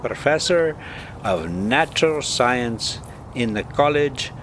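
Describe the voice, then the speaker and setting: An older man reading a classic adventure story aloud in a dramatic, deliberate way with emphatic pauses and casual delivery of asides. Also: medium pitch, animated, noisy background.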